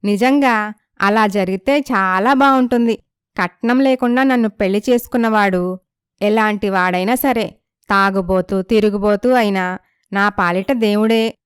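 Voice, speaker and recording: A woman playing a proud, assertive young woman from a poor family in an animated moral story. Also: medium pitch, unhurried, smooth, dry recording, studio quality, close to an everyday voice. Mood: wistful.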